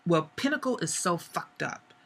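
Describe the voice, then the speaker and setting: A hoarse woman voicing a cold, accusing young man in a story she reads aloud. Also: fairly low pitch, big pitch swings, moderate pace, fairly bright tone, full character voice. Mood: bitter. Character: angry young man, bitter and sharp-tongued.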